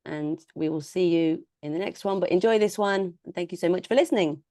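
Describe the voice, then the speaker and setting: Low-voiced British woman chatting. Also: a little fast, mellow, no echo, studio quality. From United Kingdom. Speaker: podcast co-host. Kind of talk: casual chat.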